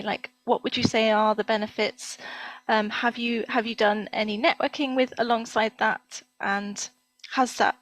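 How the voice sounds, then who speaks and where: A woman's voice: a little high, fairly bright tone, no echo, low-quality recording. A woman hosting an interview over a video call, upbeat and encouraging, often praising the guest's answers. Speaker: interview host. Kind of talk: hosted interview.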